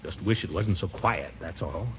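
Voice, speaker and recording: An actor playing a pompous, flustered middle-aged American uncle in 1940s radio comedy style. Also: male voice, deep voice, moderate pace, natural voice. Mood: nervous, fretting. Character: blustery, nervous middle-aged uncle dreading the dentist. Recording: muffled, noisy 1940s radio broadcast.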